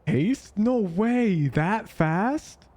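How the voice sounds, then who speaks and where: A man who is losing his voice, excitedly commentating a game review with laughter and swearing. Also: sits high, drawn-out words. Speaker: streamer reviewing gameplay. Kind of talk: casual gameplay commentary.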